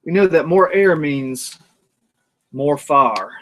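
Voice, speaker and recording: A man's voice: on the high side, animated, slow, fairly bright tone. A man hosting a casual outdoor grilling vlog, rambling and joking as he cooks. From North Georgia, USA. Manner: rambling, jokey, talks while working the grill. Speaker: cooking show host.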